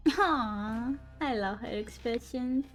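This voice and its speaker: A woman doing casual gameplay commentary, haltingly reading on-screen text aloud and stumbling over words. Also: a little high. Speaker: game streamer. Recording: game music behind. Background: video game music.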